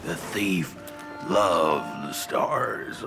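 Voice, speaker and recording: An actor voicing a crippled, half-dead old coal miner in a video game, a wry guide with a gravelly, folksy, rambling drawl. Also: male voice, deep voice, lively intonation, drawn-out words, over music, exaggerated voice. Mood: brooding storytelling. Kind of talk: character acting in a video game.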